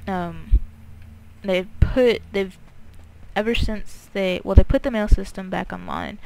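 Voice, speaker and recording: A woman's voice: mid-range voice, measured pace, creaky, darkish tone. A woman giving casual game playthrough commentary, hesitant and muttering to herself, recorded too close to the mic. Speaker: gaming video maker. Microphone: too close to the mouth. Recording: very noisy close mic, breath pops.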